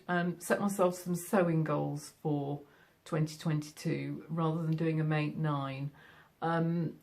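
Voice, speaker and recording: Yorkshire lady chatting about sewing, gravelly low voice, lots of ums. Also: darkish tone. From Yorkshire, England. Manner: chatty, friendly, many fillers. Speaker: sewing vlogger. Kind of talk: casual chat to camera. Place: home sewing room.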